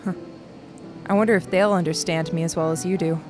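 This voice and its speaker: An actress playing a young, curious alien passenger in an audio drama, speaking plainly and earnestly. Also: female voice, on the low side, fairly even intonation, a little fast, music in the background, lightly acted. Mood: tentative, earnest.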